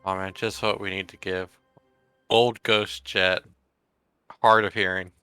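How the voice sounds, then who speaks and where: Male player, very bright crisp low voice, jumps in with quips. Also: level tone, unhurried, no echo, faint music behind. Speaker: tabletop game player. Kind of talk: casual chat.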